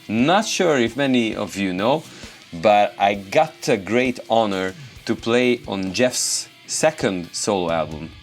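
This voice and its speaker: A Hungarian man with a Hungarian accent, a guitarist hosting a casual question-and-answer video, relaxed and rambling with many fillers. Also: on the low side. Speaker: guitarist and YouTube host. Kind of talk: casual Q&A to camera.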